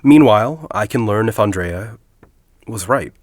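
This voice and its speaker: A measured, low-voiced university headmaster. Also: male voice, expressive, no echo, clean studio sound. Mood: persuasive, deliberate. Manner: measured, formal, deliberate. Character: composed, persuasive university headmaster, grieving a colleague, quietly calculating. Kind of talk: audio drama acting.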